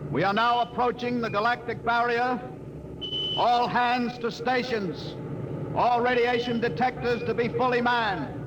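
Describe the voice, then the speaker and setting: A high male voice cuts through heavy old-recording noise a dutiful spaceship officer. He reports alertly in clipped military fashion, in classic 1950s radio drama style. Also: fairly even intonation, unhurried, muffled recording. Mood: formal announcing. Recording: very noisy vintage radio recording.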